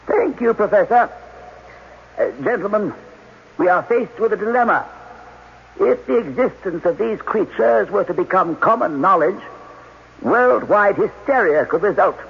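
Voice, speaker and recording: An actor playing an authoritative, evasive general in 1980s radio drama, speaking in a measured, formal, commanding way. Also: male voice, high voice, expressive, gravelly, slightly rough, full character voice. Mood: grave, evasive. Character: authoritative alien army general, diplomatic, evasive, weary of questions. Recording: dull, noisy old radio broadcast.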